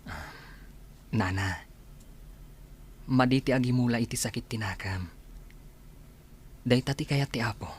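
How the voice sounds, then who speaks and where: A man's voice: mid-range voice, unhurried, long pauses, close to an everyday voice. A man playing a kind, composed doctor in an Ilocano radio drama, speaking reassuringly. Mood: gentle, reassuring. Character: kind, composed male village hospital doctor. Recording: background noise, ambient sound.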